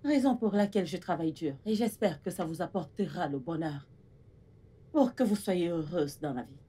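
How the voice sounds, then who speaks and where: An actress playing a stern, domineering middle-aged mother in a French drama, insistent and husky-voiced. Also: on the high side, sing-song, a little slow, full character voice. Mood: soft, earnest. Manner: scolding, insistent, repeats demands. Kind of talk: dramatic film dialogue in French.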